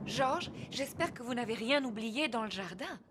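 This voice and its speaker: Woman as a high-voiced French mother pig. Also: animated, moderate pace, very noisy, natural voice. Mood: urgent. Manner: motherly, explaining simply. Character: caring, brisk young mother pig. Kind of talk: children's cartoon voice acting. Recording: strong music behind the voice.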